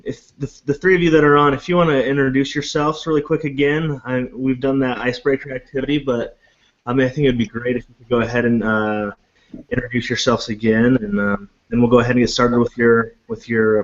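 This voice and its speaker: A man instructor running an online class, relaxed and filling pauses with ums. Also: mid-range voice. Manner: relaxed, apologetic, lots of fillers. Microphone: video call. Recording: lo-fi online call audio.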